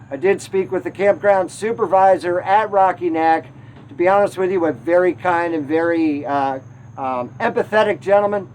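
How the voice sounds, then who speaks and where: High-voiced man hosting a travel show. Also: darkish tone, a little hiss or hum, rough recording. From Connecticut. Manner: wry, mildly aggrieved storytelling.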